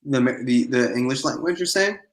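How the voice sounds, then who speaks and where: A man's voice: mid-range voice, expressive, normal speed, roomy sound. A man whose first language is Greek, a podcast guest talking eagerly and chattily in casual conversation.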